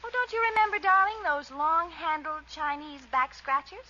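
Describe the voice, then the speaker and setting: High, bright young radio sweetheart. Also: female voice, animated, normal speed. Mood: sweet. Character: sweet, spirited young girlfriend. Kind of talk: 1940s radio comedy acting. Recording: muffled, faintly hissy old radio recording.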